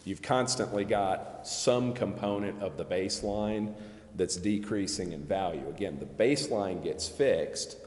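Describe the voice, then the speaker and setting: Very deep male voice, measured explanatory delivery, qualifies points, echoey council chamber. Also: a little mellow. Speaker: presenter explaining tax district finances at a council meeting. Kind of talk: explanatory meeting talk. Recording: echoey meeting chamber with long reverb.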